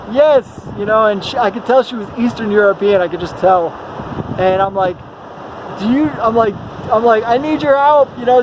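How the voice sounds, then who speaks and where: A man telling a casual, rambling personal story in an animated, slangy way, acting out bits of dialogue. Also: high voice, noisy background. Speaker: travel vlogger.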